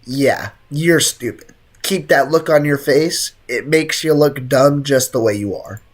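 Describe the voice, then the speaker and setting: A woman's voice: very deep voice, measured pace, husky. A woman doing casual, slangy live gameplay commentary, teasing and reactive, with a slightly sick throat. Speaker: game streamer.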